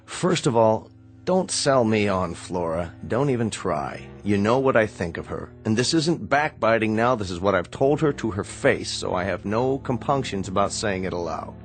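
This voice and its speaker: Actor as blunt, exasperated younger brother. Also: male voice, lowish voice, lively intonation, normal speed, faint music behind, close to an everyday voice. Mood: scornful, exasperated. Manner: emphatic, articulate, sardonic. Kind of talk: radio drama acting.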